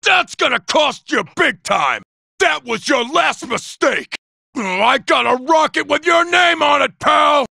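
A cocky, menacing robot trooper barks taunts in a video game. The voice is forceful and sharp with a heavy gravel to it. The delivery swings widely in pitch and stays aggressive and shouted. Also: male voice, animated, unhurried, no echo, studio quality, exaggerated voice. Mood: contemptuous, threatening. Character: cocky, aggressive male robot soldier, a taunting rocket sniper.

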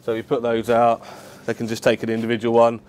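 A man's voice: mid-range voice, monotone, brisk, darkish tone, a little hiss or hum. A man, a chef explaining his work casually and matter-of-factly to camera. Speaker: head chef at a football club. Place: indoors, club kitchen and dressing room.

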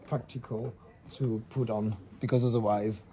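A man's voice: mid-range voice, steady tone, moderate pace, warm tone, a little hiss or hum. A young man with a French accent explaining things casually as a designer in a showroom, phrasing his English hesitantly but with enthusiasm. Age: young adult. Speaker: fashion designer presenting a collection.

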